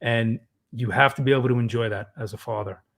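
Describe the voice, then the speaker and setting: A man in his thirties, father of three, co-hosting a fatherhood podcast in an earnest, casual way, often clearing his throat. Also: medium pitch, steady tone, brisk. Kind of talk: casual parenting advice chat.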